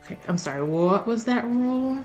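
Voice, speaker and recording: A woman's voice: fairly high pitch, animated, moderate pace, darkish tone, highs cut off, soft background music. A woman chatting casually as a player in a tabletop roleplaying game.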